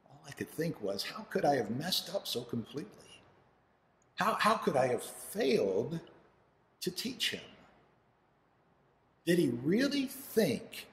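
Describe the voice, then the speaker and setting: A man's voice: lowish voice, animated, close to an everyday voice. Echoey, earnest farmer father acted by a man. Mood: anguished, regretful. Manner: halting, with dramatic pauses and restarts. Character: anguished, earnest farmer father of two sons. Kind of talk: dramatic audition monologue, storytelling. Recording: roomy, echoing hall with long reverb.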